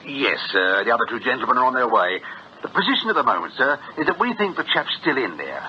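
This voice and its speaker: A man's voice: medium pitch, big pitch swings, fast, natural voice. A man with an English accent acting in a radio drama as a genial, fussy gang leader, chatty and quaintly polite. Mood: official, reassuring. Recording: dull, noisy radio broadcast recording.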